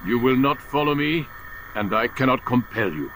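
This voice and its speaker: An actor playing a calculating older master assassin in a video game, philosophical and condescending in a theatrical, oratorical way. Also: male voice, mid-range voice, animated, normal speed, a bit bright, slight background noise, natural voice. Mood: disdainful regret. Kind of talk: dramatic video game cutscene acting.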